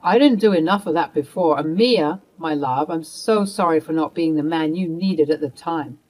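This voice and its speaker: A woman's voice: low-pitched, normal speed, darkish tone, poor audio. A woman voicing a young husband who firmly stands by his wife and apologizes to her, close to her own voice. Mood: earnest. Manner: firm and apologetic.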